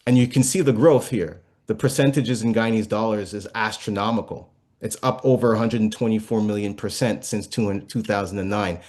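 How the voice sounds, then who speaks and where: A man's voice: on the low side, fairly quick, a little mellow. A man as an interview guest, explaining patiently, with frequent fillers and restarts. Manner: explains patiently, many fillers and restarts, asks listeners if it makes sense. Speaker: guest investment speaker.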